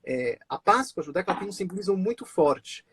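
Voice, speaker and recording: Male live-stream host, slightly high, husky voice, dry sound, chatty with restarts. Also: lively intonation, fairly quick, a bit bright, no echo. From born in Israel, raised in Brazil. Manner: chatty, many fillers and restarts, laughs often. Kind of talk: casual live-stream conversation.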